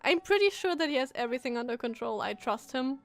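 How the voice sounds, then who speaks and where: A woman giving casual live commentary as she reacts to a game story, expressive and musing aloud. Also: high voice, fast talker, creaky. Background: game music.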